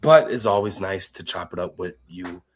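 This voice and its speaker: A man's voice: deep voice, expressive, moderate pace, a little hiss or hum. A man co-hosting a casual podcast, talking easily about his work week. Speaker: podcast co-host.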